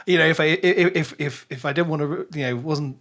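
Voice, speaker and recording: A man's voice: on the high side, brisk, a bit bright. A man co-hosting a podcast in casual, conversational book chat. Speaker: podcast co-host.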